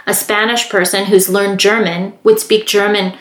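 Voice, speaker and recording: Female interviewer, friendly and curious, medium pitch, roomy sound. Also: little pitch movement, normal speed, a bit bright. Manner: encouraging, asks follow-up questions. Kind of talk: conversational interview.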